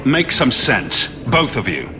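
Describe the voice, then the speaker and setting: A man's voice: mid-range voice, huge pitch swings, slow, noisy background. An actor playing a curt, commanding male hero in a video game, with music underneath. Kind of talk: video game drama acting.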